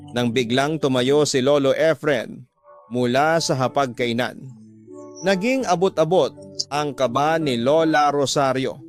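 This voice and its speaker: A man giving scripted narration as the storyteller of a radio drama. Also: mid-range voice. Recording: music bed under the voice.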